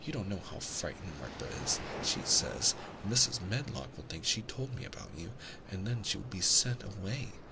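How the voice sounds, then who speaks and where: A man's voice: sits low, sing-song, moderate pace, creaky, background noise, pitched above the performer's own voice, heavily acted. A man voicing a candid, earnest and observant young girl in a bedtime storybook reading. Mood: frank. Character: frank, observant young girl, quietly self-assured. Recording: constant loud ocean-wave ambience behind voice.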